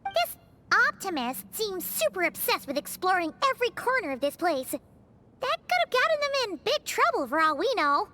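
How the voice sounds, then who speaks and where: A woman's voice: extremely high pitch, big pitch swings, moderate pace, exaggerated voice. A woman voicing a tiny, childlike, chirpy and bossy fairy companion in an English video game, with an American accent. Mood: upbeat. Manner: speaks of herself in the third person, exclaims brightly. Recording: music behind the voice.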